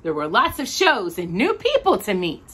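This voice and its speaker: A woman reading a children's picture book aloud as storyteller, expressive, drawing out words for a young listener. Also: medium pitch, normal speed, breathy edge.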